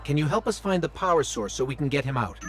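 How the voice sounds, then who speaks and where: An actor playing a small, plucky, talkative robot companion in a video game cutscene, earnest and inquisitive. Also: male voice, on the high side, expressive, fast, close to an everyday voice. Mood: businesslike.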